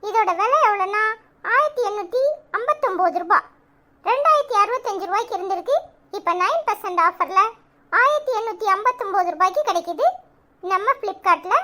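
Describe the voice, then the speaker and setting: Squeaky, chirpy cartoon child presenter. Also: female voice, expressive, a little fast, bright, forceful tone, exaggerated voice. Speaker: product presenter. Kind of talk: scripted shopping promotion.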